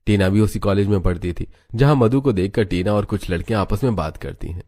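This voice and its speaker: A man's voice: on the low side, a little fast, dark tone. A man narrating a scripted story as its narrator.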